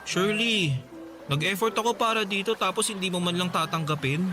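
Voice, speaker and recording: High-voiced lovesick teenage schoolboy played by a man. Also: fairly quick, over music, natural voice. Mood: hurt, pleading. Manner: pleading, insistent, earnest. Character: lovesick, persistent teenage schoolboy, earnest suitor. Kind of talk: Tagalog radio drama acting.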